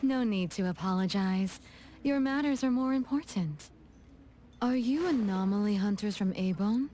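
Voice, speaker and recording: A woman's voice: mid-range voice, normal speed, warm tone, a little hiss or hum, lightly acted. A woman voicing a poised, gracious young lady in a video game, composed and polite with a lightly teasing touch. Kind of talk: game dialogue acting, storytelling. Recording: music behind the voice.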